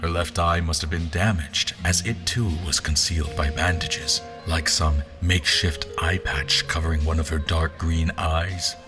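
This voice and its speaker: Audio drama lead: actor as pompous male general, low voice, measured literary narration over prominent music. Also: steady tone. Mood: brooding, observant. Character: formal, pompous, troubled male general recounting his memories.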